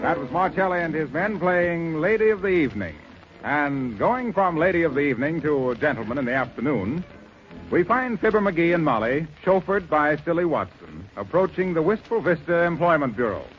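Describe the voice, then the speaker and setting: Male American announcer, animated scripted introduction, dull vintage radio recording with faint music. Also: a little high, moderate pace, long pauses.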